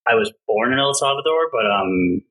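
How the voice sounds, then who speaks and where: A man's voice: medium pitch, animated, normal speed, a bit bright, slightly rough, poor audio. A man in his thirties from Colombia, chatting casually as a podcast guest, rambling and laughing often. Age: 30s. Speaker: podcast guest, background artist. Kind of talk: casual chat.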